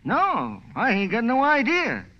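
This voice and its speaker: High, swooping old rummy sailor, simple and loyal, vintage radio drama, dull recording. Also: male voice, wildly swooping pitch, unhurried, exaggerated voice. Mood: befuddled. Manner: folksy ungrammatical speech. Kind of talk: 1940s radio drama acting.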